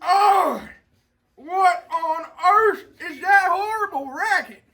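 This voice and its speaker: A teenage boy playing a blustering, threatening robber captain in a classroom reader's theater, loud and pirate-like. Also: very high-pitched, slow pace, exaggerated voice. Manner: loud, bullying, pirate-style talk. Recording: classroom recording.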